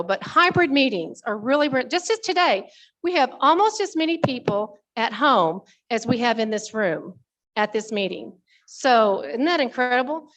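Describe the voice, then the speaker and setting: A woman's voice: sits high, fairly bright tone, poor audio. A woman presenting at a members meeting in an informal, conversational way, with folksy asides to the audience. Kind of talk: informal spoken committee report to an audience.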